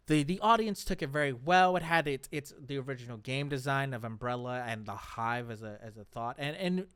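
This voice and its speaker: A man's voice: mid-range voice, fairly bright tone, no room sound. Man hosting a casual podcast, dry close sound. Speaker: podcast host. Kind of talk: casual podcast discussion of movies.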